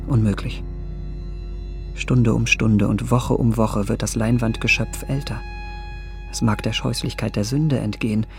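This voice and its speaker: Haunted young gentleman, creaky medium voice, inward brooding, radio drama, faint music. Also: medium pitch. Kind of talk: dramatic radio play monologue.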